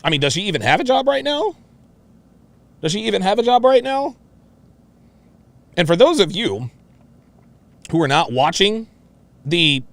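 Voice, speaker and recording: Male commentary host, brash and foul-mouthed, higher-pitched voice, very expressive, mocking delivery. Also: no echo. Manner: brash, mocking, profane, repetitive. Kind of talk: reaction commentary on a played clip.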